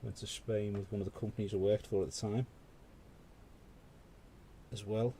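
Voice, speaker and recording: A man's voice: fairly low pitch, steady tone, normal speed, warm tone, a little hiss or hum. A British man hosting a paint-along stream, chatting casually with rambling asides. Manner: rambling, conversational, full of asides. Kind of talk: casual chat while painting.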